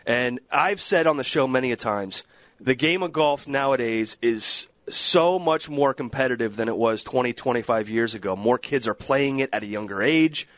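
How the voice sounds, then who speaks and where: Male radio host asking measured questions. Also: mid-range voice, fairly bright tone, no room sound. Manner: measured questions, slows for book titles. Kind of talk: radio interview hosting.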